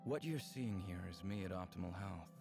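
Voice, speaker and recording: A man playing a bold, seductive alien suitor in a visual novel, speaking with calm, teasing assurance. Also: low voice, brisk, vocal fry. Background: game music.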